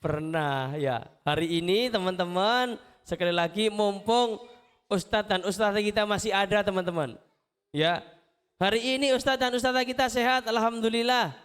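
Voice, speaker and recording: A man's voice: high voice, clear, resonant tone. A man giving emotional, repetitive motivational and devotional talk to schoolchildren. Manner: pleading, repetitive phrasing with drawn-out invocations. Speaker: motivational speaker and storyteller for schoolchildren. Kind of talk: emotional led prayer and motivational talk to children. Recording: loud music under the voice. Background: loud instrumental music.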